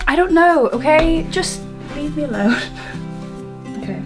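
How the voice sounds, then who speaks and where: A woman's voice: high-pitched, lively intonation, unhurried, over music. An eighteen-year-old woman with a Welsh accent, talking to a camera on a tripod in her bedroom, nervous and self-deprecating, often stopping and restarting. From Wales. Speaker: student vlogger. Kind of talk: casual vlog to camera.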